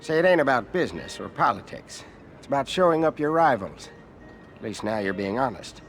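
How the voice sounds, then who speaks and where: An actor playing an arrogant, condescending American senator in a video game cutscene, blunt and haughty in manner. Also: male voice, mid-range voice, big pitch swings, a little fast, a little hiss or hum. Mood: pleased, scheming.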